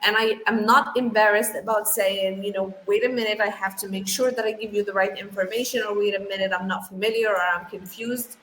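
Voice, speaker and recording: A woman's voice: mid-range voice, fairly even intonation, a little fast, hardly any pauses, fairly bright tone. Woman in her thirties, roomy echo. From Algeria. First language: not English; English is her third language. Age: 30s. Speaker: English teacher and trainer, interview guest. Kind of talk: casual interview answers. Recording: roomy echo, faint background noise.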